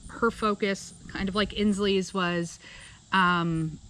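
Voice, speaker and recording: A woman co-hosting a laid-back political podcast at a middling pitch, hedging her points with "um" and restarting sentences as she thinks aloud. Faint noise hums behind her. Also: fairly even intonation, takes it slowly, fairly bright tone, poor audio. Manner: conversational, many fillers and restarts. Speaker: podcast co-host. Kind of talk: casual political chat.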